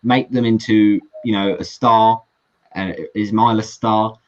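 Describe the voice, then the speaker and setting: Low-voiced male football fan, muffled line. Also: fairly even intonation, measured pace. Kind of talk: casual football discussion. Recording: muffled lo-fi online call.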